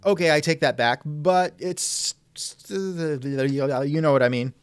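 A man's voice: fairly high pitch, slow, smooth, dry recording. Dry, sardonic male podcast host. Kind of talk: scripted podcast interview reenactment.

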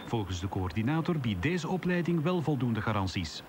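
A man with a Flemish accent reads a scripted news report at a measured pace. His voice sits in an ordinary middle pitch, with faint street sound behind him. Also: sing-song, background noise.

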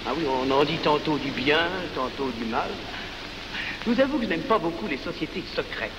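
An actor playing a frank, disillusioned and skeptical deputy in a 1940s film. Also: male voice, a little high, lively intonation, a little fast, natural voice. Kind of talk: 1940s film acting, conversational. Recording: echoey, reverberant, noisy 1940s film.

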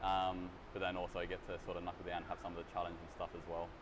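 A young man with a deep, low voice talks quickly and loosely, full of ums and restarts as he answers questions. He sits in a big gym studio, picked up from across the room, with a clear noisy hiss underneath. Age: 20s. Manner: relaxed, rambling with many fillers and restarts. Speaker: exercise physiologist. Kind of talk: casual interview answers. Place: large gym studio, indoors.

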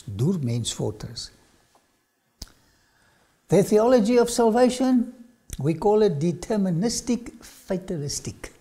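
An older man with an Afrikaans accent, a lecturer telling his own story to camera in a studio, swinging from loud phrases to whispers. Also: fairly high pitch, slightly rough. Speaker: archaeology lecturer. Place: studio with dark backdrop.

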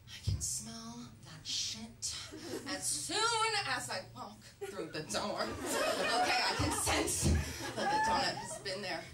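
Young woman doing stand-up in a club, high lively voice, far from the mic, echoey room, crowd laughing loud. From Canada. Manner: animated, swings between low asides and shrieking punchlines. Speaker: stand-up comedian. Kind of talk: stand-up comedy set. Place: comedy club stage, large dark room. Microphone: handheld mic on a stand cord, filmed from far. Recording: roomy, distant club recording with crowd laughter. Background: audience laughter.

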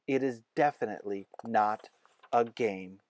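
A man's voice: lowish voice, measured pace, a little hiss or hum, lightly acted. A man voicing an earnest, determined, thoughtful young boy in a children's storybook. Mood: solemn. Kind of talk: children's storybook read-aloud.

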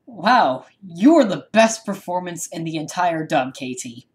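A woman's voice: on the low side, big pitch swings, measured pace, a little mellow. A woman chatting casually and supportively as a co-reactor.

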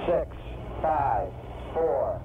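Very slow male countdown announcer, muffled. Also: mid-range voice, lively intonation, roomy sound. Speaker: launch announcer. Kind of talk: launch countdown commentary. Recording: noisy, dull, distant archive playback.

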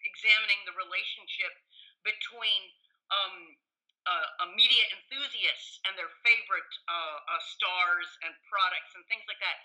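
An older woman with a very bright, forceful voice talks like an enthusiastic academic guest in an interview. She is conversational and scholarly, pausing often on ums as she gathers her thoughts. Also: mid-range voice, rough recording. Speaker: guest professor and author.

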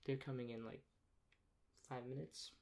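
A young man in a soft-spoken ASMR role play as a rude emergency dispatcher, talking in a deadpan, sarcastic and dismissive way. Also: mid-range voice, normal speed. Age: young adult. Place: small plain room indoors. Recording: clear background noise, keyboard clicks.

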